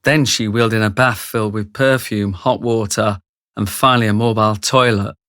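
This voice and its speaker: A man's voice: lowish voice, steady tone, moderate pace, a bit bright, no echo, clean studio sound. A man reading scripted narration for a radio drama, telling the story.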